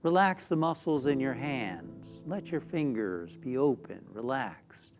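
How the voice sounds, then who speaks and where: A man, a minister, leading a spiritual guided meditation in a soothing, deliberate way with frequent pauses. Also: mid-range voice, big pitch swings. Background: background music.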